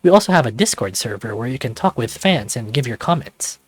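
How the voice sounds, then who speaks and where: A man's voice: mid-range voice, expressive, brisk, slightly rough, no echo. Man reading a teasing game writer. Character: teasing, chatty game writer addressing the player. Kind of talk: visual novel developer notes read aloud.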